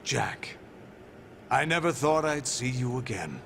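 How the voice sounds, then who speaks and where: Casting: imperious game villain, cold ex-warlord and father figure, creaky voice, deliberate delivery, noisy capture. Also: medium pitch, big pitch swings, fairly bright tone, full character voice. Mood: imperious nostalgia. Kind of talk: dramatic video game cutscene acting.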